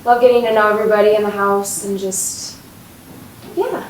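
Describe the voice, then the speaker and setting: A woman's voice: mid-range voice, fairly even intonation, moderate pace, a little hiss or hum. A woman student from Wisconsin chatting in a friendly, easygoing way. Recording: echoey room, distant mic.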